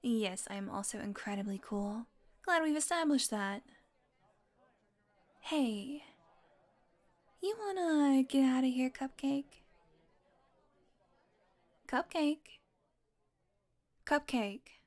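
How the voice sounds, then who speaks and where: A woman's voice: sits high, lively intonation, slow pace, long pauses, darkish tone. A woman playing a sardonic, flirtatious, possessive female vampire in an intimate audio roleplay, talking teasingly to the listener and sometimes dropping into a murmur. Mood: flirty, playful. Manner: sardonic, teasing, casually swearing, slipping into breathy murmurs.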